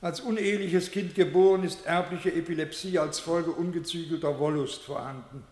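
A man's voice: a little high, steady tone, normal speed, darkish tone, natural voice. An actor playing a pompous male doctor who solemnly declaims an absurd clinical report in a satirical radio play. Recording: echoey hall with long reverb.

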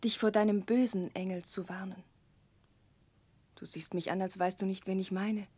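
An actress playing a gentle, earnest, caring young woman with a soft voice in a 1960s radio drama. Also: mid-range voice, fairly even intonation, normal speed, no echo, natural voice. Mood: gentle, concerned. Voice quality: soft, breathy.

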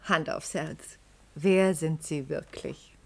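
An actress playing a sceptical, curious radio reporter in a radio play, direct and probing with wry teasing. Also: female voice, sits low, takes it slowly, gravelly, darkish tone, exaggerated voice. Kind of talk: German radio play acting.

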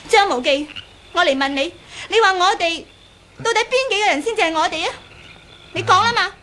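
A young woman with a grudge in a radio drama. Her voice is high and she harangues with a jealous, fiery temper. A light layer of noise sits behind her. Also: brisk. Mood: jealous, demanding. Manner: sharp-tongued, haranguing, petulant. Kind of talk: Cantonese radio drama acting.

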